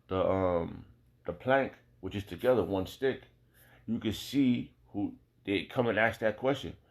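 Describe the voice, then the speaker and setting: American guy doing casual bible teaching, lowish voice, emphatic, repeats himself a lot. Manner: colloquial, emphatic, repeats phrases, checks in with rhetorical questions. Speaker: religious teacher. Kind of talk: informal scripture teaching, preaching.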